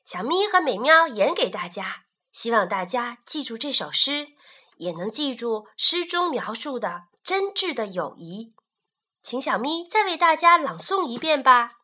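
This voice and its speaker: A woman narrating a story for children, slow and expressive. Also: a little high.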